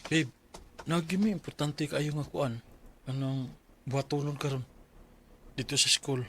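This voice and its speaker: Light-voiced young Filipino student boyfriend. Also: male voice, fairly high pitch, fairly even intonation, measured pace, a bit bright, slight background noise. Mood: considerate. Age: young adult. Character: sweet-talking, earnest young student boyfriend. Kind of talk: radio drama acting.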